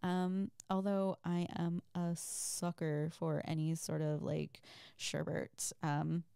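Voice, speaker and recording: A woman chatting casually as a host, reading out and answering listener questions in a soft, giggly, rambling and hesitant way. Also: low voice, level tone, slow, vocal fry, clean tone, no room sound, studio quality. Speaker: audio creator and host.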